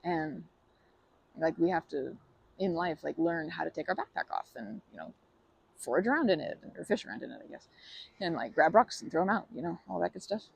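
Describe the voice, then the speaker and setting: A woman playing a timid, kind young woman in a comforting ASMR roleplay, with a creaky voice and a hesitant, stammering, apologetic way of talking. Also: sits low, expressive, fairly quick, full character voice, low-quality recording. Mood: wistful, earnest.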